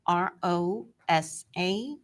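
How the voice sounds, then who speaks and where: A Cuban-born woman whose first language is Spanish, testifying as a court interpreter, soft-spoken and hesitant with many ums. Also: fairly low pitch, extremely slow. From Cuba.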